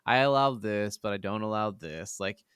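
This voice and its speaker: A man's voice: fairly low pitch, animated, normal speed, a bit bright, clear, resonant tone, no room sound, clean studio sound. A man co-hosting a casual video game podcast, agreeable and laid-back, with some swearing. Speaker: podcast co-host. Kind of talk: casual banter about video games.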